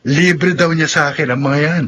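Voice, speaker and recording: An actor playing a humble, caring older brother in a Tagalog radio drama. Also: male voice, on the high side, steady tone, normal speed, a bit bright, a little hiss or hum, close to an everyday voice. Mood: pleased, explaining.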